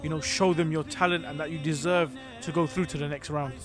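A man's voice: fairly high pitch, fairly even intonation, brisk. A man interviewing a contestant, asking friendly, encouraging questions. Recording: music playing under the voice.

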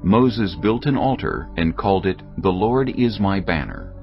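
Man, scripture narrator, very low, lively intonation, dull lo-fi sound. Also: unhurried, vocal fry, soft background music. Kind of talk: dramatized scripture reading.

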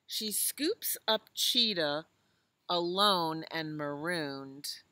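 A woman's voice: sits low, animated, drawn-out words, sharp tone, no echo. An American woman in her sixties reading a picture book aloud to young children outdoors, with simple explanatory asides and questions. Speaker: children's librarian reading storytime. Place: outdoors in a leafy garden.

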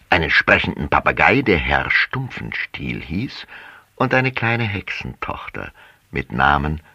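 A man narrating a children's audio play as an expressive fairy-tale storyteller, relishing drawn-out phrases. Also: sits low, dry recording. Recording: dull sound with clear background noise.

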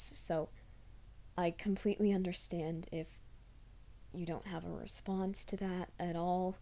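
A woman voicing a shy, flustered young male werewolf in an ASMR roleplay, speaking in a soft half-whisper with a hesitant, stammering delivery. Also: on the low side. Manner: hesitant, stammering, self-conscious.

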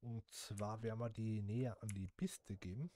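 Guy chatting thru a sim game, thinking out loud, bit of background hiss. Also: fairly low pitch, steady tone, normal speed. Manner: chatty, thinks aloud while playing, addresses viewers. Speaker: gaming channel host. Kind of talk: casual gameplay commentary.

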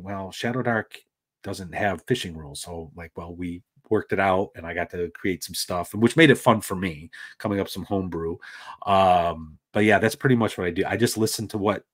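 A middle-aged man on a video call panel, talking casually about gaming with his son and rambling with fillers. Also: low-pitched. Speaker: panel guest.

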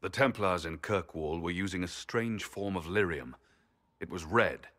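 A man's voice: low voice, normal speed, a bit bright. A man playing a grim, dutiful adventurer in a video game, grave and resolute in manner. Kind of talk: dramatic video game acting.

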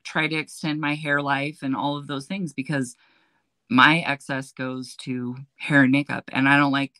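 A middle-aged woman with a deep voice, chatting casually and telling stories on a video call. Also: moderate pace, dry recording. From United States. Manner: chatty storyteller, animated, gestures while talking. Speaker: beauty influencer, guest. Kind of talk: casual chat on a livestream. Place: walk-in closet with shelves, indoors. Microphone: webcam on a video call.

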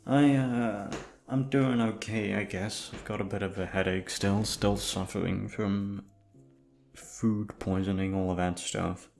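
A man talks informally to his listeners in a rambling, casual chat. His voice has noticeable fry, and he swears offhandedly. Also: fairly low pitch, steady tone, unhurried, a little mellow, faint music behind. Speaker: voice actor addressing listeners.

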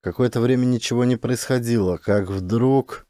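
A man narrating a scripted science fiction audiobook dramatically, with suspenseful, deliberate delivery. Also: medium pitch, mellow, no room sound, clean studio sound.

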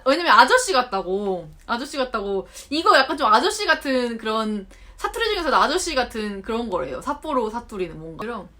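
A Korean woman in her thirties talking casually to camera, chatty and animated, acting out remarks she quotes. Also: on the high side. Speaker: vlogger. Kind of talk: unscripted talk to camera. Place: home in Japan.

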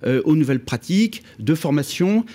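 A man's voice: fairly high pitch, very animated, moderate pace, mellow. A middle-aged man speaking on a radio panel in a studio, with many restarts and repeated words as he talks about his housing work. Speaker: housing project manager. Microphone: studio mic on stand.

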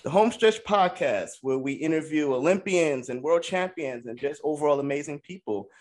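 A man's voice: a little high, expressive, normal speed. Upbeat man hosting a podcast. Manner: upbeat, joking, reads out credits. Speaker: podcast host. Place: indoor room with brick wall.

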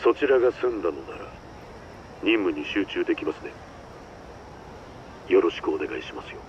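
A man's voice: sits low, lively intonation, normal speed. An actor playing a cold, polite, businesslike male handler in a video game, heard through a phone filter. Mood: cold, formal. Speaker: handler giving orders by phone. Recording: muffled phone-like sound, faint noise.

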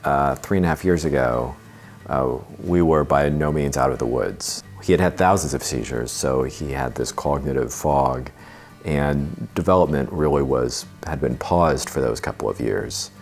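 A man in his forties telling his family's story in an interview, seated on a living room sofa, speaking steadily with many pauses. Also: a bass voice, faint music behind. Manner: measured, steady telling with frequent uh fillers. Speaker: parent interviewee. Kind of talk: interview testimonial.

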